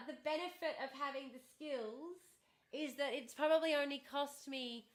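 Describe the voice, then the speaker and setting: Woman streamer, high and bright, bubbly and chatty, slightly worn voice from hours of talking. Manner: bubbly, chatty, thanks viewers warmly and often. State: starting to lose her voice. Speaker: livestream host. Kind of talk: casual livestream chat with viewers.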